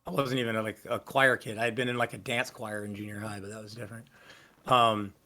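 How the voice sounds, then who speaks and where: A man with a low voice casually telling stories in an interview, rambling with lots of fillers and restarts. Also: little pitch movement, a little fast, sharp tone. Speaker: singer interviewee. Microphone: video call.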